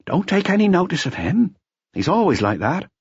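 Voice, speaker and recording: A man acts out a garrulous, conniving barman in a dockside dive for an audiobook. The voice is pitched well up and runs on in colloquial working-class phrases. The recording is dry and a little dull. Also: sing-song, moderate pace, slightly rough, no room sound, exaggerated voice. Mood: coaxing. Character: chatty, conniving male harbour barman. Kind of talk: audiobook character dialogue.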